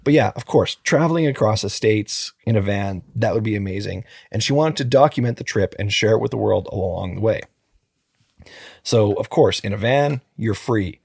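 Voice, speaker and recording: A man co-hosting a casual true crime podcast, his voice gravelly and a little husky. He rambles and jokes through the story with plenty of fillers. The sound is close and dry. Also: fairly low pitch, normal speed, no room sound. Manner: relaxed, joking, rambling with fillers. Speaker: podcast co-host.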